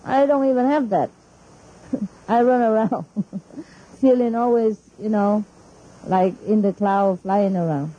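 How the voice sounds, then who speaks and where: A woman's voice: medium pitch, slow pace, long pauses, mellow, lo-fi sound. Chatty Vietnamese-accented woman on old tape. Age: middle-aged. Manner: chatty, laughing often, mixing in Vietnamese. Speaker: spiritual teacher. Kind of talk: informal talk to disciples.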